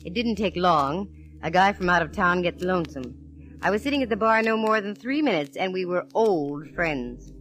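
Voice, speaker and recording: An actress playing a cool, sassy, wisecracking private eye with wry, punchy delivery in 1940s radio detective drama. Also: female voice, lowish voice, animated, moderate pace, fairly bright tone. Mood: wry narration. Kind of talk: 1940s radio detective drama, first-person narration and dialogue. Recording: faintly noisy vintage radio recording.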